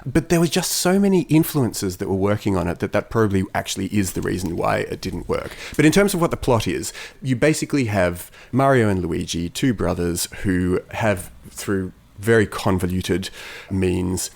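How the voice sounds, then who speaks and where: A man's voice: low voice, animated, normal speed, vocal fry, darkish tone. A man co-hosting a podcast, explaining film history conversationally in long, run-on thoughts. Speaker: podcast co-host.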